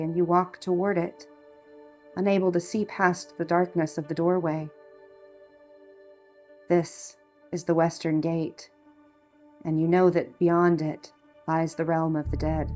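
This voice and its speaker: Slow, low-voiced woman guiding a meditation. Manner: calm, measured, hushed delivery. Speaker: guided meditation narrator. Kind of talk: slow guided meditation, scripted narration.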